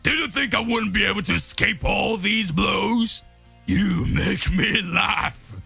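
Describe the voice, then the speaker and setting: Man putting on a gloating, forceful anime villain. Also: sits high, slow pace, vocal fry, faint music behind, voice pushed up, heavily acted. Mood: gloating, mocking. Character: gloating, sneering male villain, destroyer of worlds. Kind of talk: anime fan-fiction dramatic reading.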